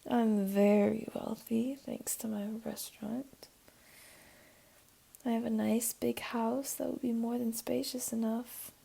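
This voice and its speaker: Casting: woman to play teasing, doting jaguar girl for ASMR roleplay; soft, mellow, whispery, slightly high voice. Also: flat delivery, slow pace, exaggerated voice. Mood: concerned, inviting. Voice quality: soft, breathy, often whispery. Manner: teasing, cooing, soothing. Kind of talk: ASMR roleplay, intimate one-to-one.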